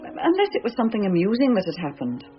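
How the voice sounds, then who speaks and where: A woman playing a policeman's wry, teasing wife in a radio drama, composed and gently mocking. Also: mid-range voice, huge pitch swings, quick pace, breathy edge, muffled recording. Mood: reasoning, wry. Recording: band-limited radio drama, faint music.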